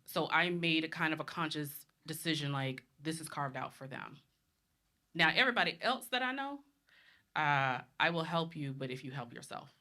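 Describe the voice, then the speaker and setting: A woman's voice: low voice, lively intonation, normal speed, bright, forceful tone. A woman in her thirties from the Bahamas, a panelist speaking bluntly and confidently in a live discussion.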